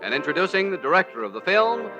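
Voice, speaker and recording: A man's voice: high voice, sing-song, fast, over music. A man announcing a 1940s radio program in a formal, declamatory, scripted style. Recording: dull vintage 1940s radio recording.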